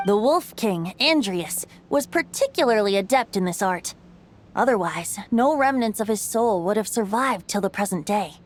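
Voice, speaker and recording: An actress voicing a playful wandering bard who tells old tales with a gentle, lilting delivery. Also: female voice, mid-range voice, lively intonation, moderate pace, slight background noise, lightly acted. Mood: explaining. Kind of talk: game voice acting narration.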